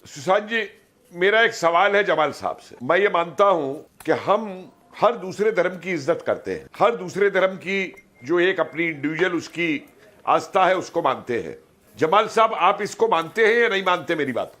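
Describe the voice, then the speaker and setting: A man's voice: high voice, lively intonation, moderate pace, a bit bright. A man on a debate panel, insistently asking to put his question and repeating himself. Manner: insistent, repeats phrases to get the floor.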